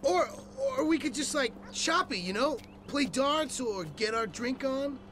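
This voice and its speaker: An actor playing a spoiled, eager young American slacker trying to sound cool in a video game, slangy and rambling. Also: male voice, very high-pitched, animated, measured pace, a bit bright, a little hiss or hum, exaggerated voice. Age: young adult. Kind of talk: video game cutscene acting.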